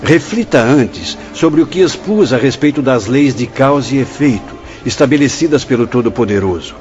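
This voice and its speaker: A man acting as a stern, fatherly spirit director in a radio drama, with a Brazilian accent. He declaims in a formal, solemn way at a middling pitch. The audio is lo-fi, with music playing behind him. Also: animated, moderate pace, a little mellow, natural voice. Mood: firm, admonishing. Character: grave, authoritative spirit director of an asylum, fatherly but stern.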